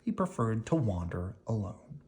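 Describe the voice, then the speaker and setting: A person reading a children's picture book aloud expressively, with dramatic emphasis, pauses and brief explanatory asides. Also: medium pitch, sing-song, warm tone. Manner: dramatic emphasis, pausing between phrases.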